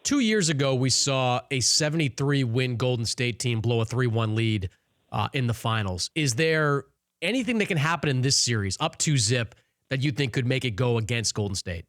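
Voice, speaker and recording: A man's voice: medium pitch, expressive, normal speed, a bit bright, dry recording. Male sports radio host, dry close sound. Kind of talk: casual sports interview.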